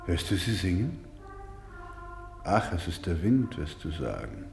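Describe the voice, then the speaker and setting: An actor playing a weary, devout, gentle doctor in a radio play, solemn and halting, with swings between hush and fervour. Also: male voice, low-pitched, big pitch swings, gravelly, echoey room, soft background music, heavily acted. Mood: grave, sorrowful. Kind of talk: dramatic radio play acting.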